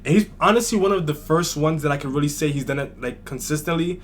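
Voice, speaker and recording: A man's voice: on the high side, quick pace, slight background noise. Chatty New York man reacting to music. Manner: chatty, thinks aloud with fillers like "like" and "you know". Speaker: reaction channel host. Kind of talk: casual reaction commentary.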